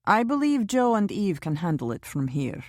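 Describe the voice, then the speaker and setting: A woman voicing a calm, protective man who speaks in a measured, reassuring and sometimes grimly insistent way in an audiobook. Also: medium pitch, dry recording, clean studio sound, close to an everyday voice. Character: calm, protective older man, steady and wry.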